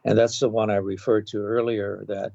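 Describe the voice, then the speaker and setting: A man in his seventies from Hawaii, a podcast guest recalling his life in a measured way, pausing often with um and uh. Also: lowish voice, level tone, gravelly, dark tone, no echo. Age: 70s. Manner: measured, with frequent um and uh pauses. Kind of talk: thoughtful reminiscing interview. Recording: dull, lo-fi dry recording.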